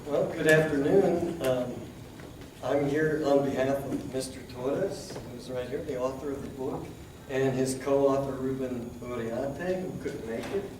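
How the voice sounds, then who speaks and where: Hoarse man in an echoey hall. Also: mid-range voice, measured pace. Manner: brief, apologetic remarks. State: hoarse from illness. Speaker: guest speaker handing over. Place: lecture hall. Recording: echoey hall, distant, some hiss.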